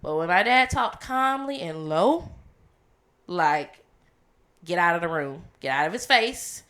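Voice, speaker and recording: A young woman from the Caribbean co-hosting a podcast, talking loud, candid and animated in casual chat. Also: lowish voice, unhurried. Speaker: podcast co-host.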